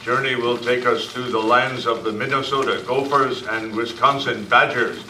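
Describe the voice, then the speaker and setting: Guy doing earnest western sidekick, slow broken english, sharp voice, echoey club room. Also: medium pitch, fairly even intonation, close to an everyday voice. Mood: matter-of-fact. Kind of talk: amateur old-time radio western acting. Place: club meeting room, far camera. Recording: roomy, distant camera sound with hiss.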